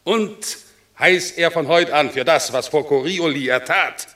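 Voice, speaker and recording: An actor playing a solemn, generous Roman general in a classical verse radio drama, declaiming ceremoniously. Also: male voice, on the high side, measured pace, bright, forceful tone. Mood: proclaiming.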